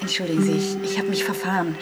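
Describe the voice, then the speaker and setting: An actress playing a polite, slightly flustered magazine journalist in a radio drama, candid and a little halting. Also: female voice, fairly low pitch, fairly even intonation, natural voice. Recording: loud music behind the voice.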